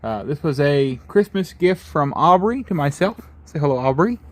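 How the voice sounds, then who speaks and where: A man's voice: on the high side, lively intonation, moderate pace. A man with a folksy, informal manner hosting a casual how-to restoration video. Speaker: host of restoration vlog.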